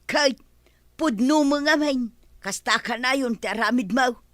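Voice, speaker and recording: An actress with an Ilocano accent playing a put-upon, quarrelsome village wife in an audio drama, given to exclaiming and complaining. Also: female voice, high-pitched, a little slow, no room sound, clean studio sound. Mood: angry, aggrieved.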